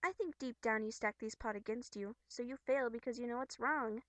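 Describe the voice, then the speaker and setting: A high, bubbly young troll girl, acted out by a woman in an amateur webcomic voice performance. She is theatrical and expressive, scolding yet caring and earnest. The sound is close and dry. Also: brisk, dry recording, natural voice. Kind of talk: amateur character voice acting from a webcomic.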